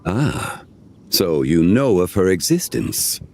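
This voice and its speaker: A man voicing an ancient, composed immortal in a video game, solemn and courteous, with measured, archaic phrasing. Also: low voice, big pitch swings, exaggerated voice. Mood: grave, measured. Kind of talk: scripted video game voice acting.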